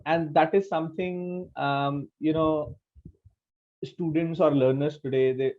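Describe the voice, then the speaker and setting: Slightly muffled Indian male podcast host. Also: fairly high pitch. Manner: halting, switches between English and Hindi. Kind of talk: conversational interviewing.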